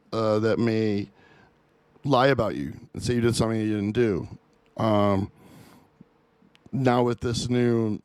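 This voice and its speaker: A man in his forties hosting a music reaction video, talking off the cuff in an earnest, halting way with many fillers and restarts. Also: mid-range voice, a little mellow, no echo. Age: 40s. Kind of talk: unscripted opinion monologue.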